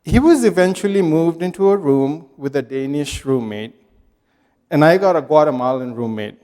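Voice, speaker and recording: A man's voice: a little high, expressive, warm tone. A man from Nepal giving a prepared, reflective talk to a university audience in a lecture hall. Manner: measured, reflective storytelling. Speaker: guest speaker. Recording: reverberant hall.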